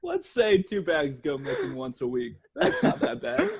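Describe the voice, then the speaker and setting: A man's voice: sits high, wildly swooping pitch, moderate pace, creaky, husky. A man with an American accent, chatting and joking casually as a podcast co-host.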